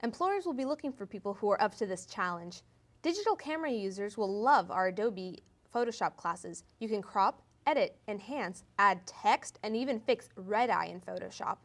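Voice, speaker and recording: A woman's voice: mid-range voice, animated, moderate pace, fairly bright tone. A woman doing a scripted mock TV news report as a field reporter, with an exaggerated, peppy delivery. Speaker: field reporter in a college's comedic promo newscast.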